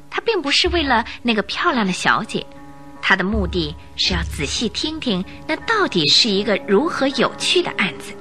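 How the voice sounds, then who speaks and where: A woman narrates a radio play as a storyteller. Her voice is bright and of medium pitch, with lively, wide intonation. Light music plays underneath. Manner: expressive storytelling. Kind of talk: scripted radio drama narration.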